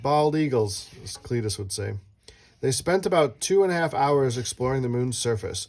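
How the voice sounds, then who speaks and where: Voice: medium pitch, animated, moderate pace, a little mellow. Someone reading a children's book aloud as host, playfully asking a child questions and adding casual asides.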